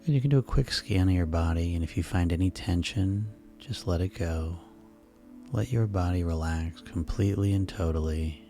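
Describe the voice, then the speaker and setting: A man guiding a sleep hypnosis session in a slow, soothing, repetitive way. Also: low-pitched, dark tone. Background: music.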